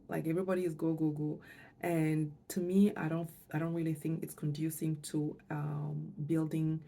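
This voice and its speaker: Low, creaky-voiced woman. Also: warm tone. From Canada, moved there with parents, lived in Montreal. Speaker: financial coach and vlogger. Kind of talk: personal vlog talk to camera.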